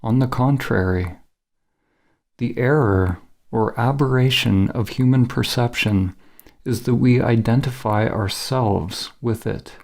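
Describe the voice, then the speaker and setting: Slow, mellow, creaky male meditation guide. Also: medium pitch. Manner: measured, solemn, instructional. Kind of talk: scripted guided meditation narration.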